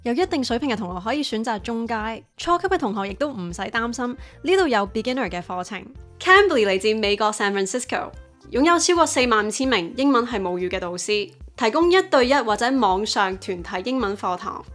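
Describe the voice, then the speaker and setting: A woman's voice: fairly high pitch, big pitch swings, fairly quick, fairly bright tone, soft background music. A young adult woman with a Hong Kong accent, narrating a vlog and switching between two languages. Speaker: host, English teaching YouTuber. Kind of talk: vlog narration mixing Cantonese and English.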